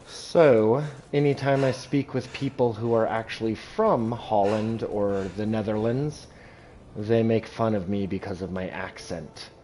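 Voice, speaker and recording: A man from Southern California, chatting calmly and reading chat aloud while streaming a video game. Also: lowish voice, unhurried, a little hiss or hum. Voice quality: calm. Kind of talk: casual gameplay commentary.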